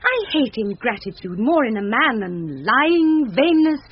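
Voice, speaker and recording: A woman's voice: on the high side, sing-song, unhurried, slight background noise. An actress playing an anxious young noblewoman disguised as a youth, speaking earnest formal verse in a classic Shakespearean radio drama. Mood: earnest, indignant. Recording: dull, band-limited 1970s radio recording.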